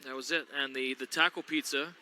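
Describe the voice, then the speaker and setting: A man's voice: mid-range voice, steady tone, brisk, pushed and bright, a little hiss or hum. A man doing chatty, joking play-by-play of a local school basketball game from the gym. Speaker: play-by-play announcer. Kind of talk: local sports commentary. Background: gym ambience, bouncing basketball.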